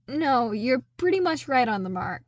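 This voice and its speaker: An earnest young man, voiced by a woman in an audiobook, eager and a little sheepish. The voice is high and artless, chatting along in a dry, close recording. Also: big pitch swings, normal speed, dry recording, lo-fi sound. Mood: bright. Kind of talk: audiobook character dialogue.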